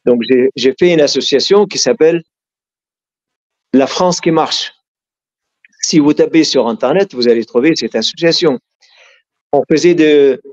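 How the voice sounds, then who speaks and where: A man's voice: a little high, darkish tone, highs cut off. A middle-aged man from Syria with an Arabic accent, telling his life story in an interview. He is earnest and often stops and restarts his sentences. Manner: frequent restarts and hesitations, earnest.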